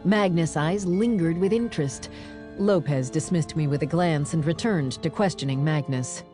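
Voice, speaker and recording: A woman narrating a dramatized audiobook in the first person, with wry, expressive storytelling. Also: low-pitched, moderate pace, music in the background.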